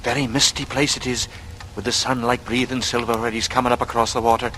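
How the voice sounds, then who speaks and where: An actor playing a soothing young servant with hidden menace, coaxing in rustic working-class speech, in a radio drama. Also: male voice, mid-range voice, little pitch movement, quick pace, pushed and bright, natural voice. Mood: wistful. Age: young adult. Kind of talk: 1940s radio acting. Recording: noisy vintage radio recording.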